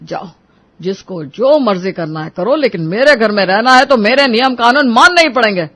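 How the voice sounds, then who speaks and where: A man playing a stern, scolding older father-in-law in a Hindi animated moral story, indignant and domineering. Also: very high voice, normal speed, full character voice. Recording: dull, lo-fi audio.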